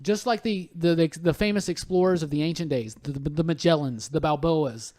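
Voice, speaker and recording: High-ish male podcast host, emphatic. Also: a little fast, dark tone. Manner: emphatic, stammering repeats, swears freely. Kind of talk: casual, irreverent podcast chat.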